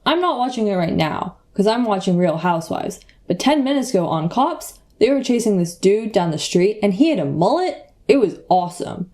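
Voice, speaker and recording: A woman's voice: mid-range voice, sing-song, normal speed, few pauses, a little mellow, close to an everyday voice. A woman playing a laid-back, slangy crime reporter who is easily impressed. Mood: excited, laid-back.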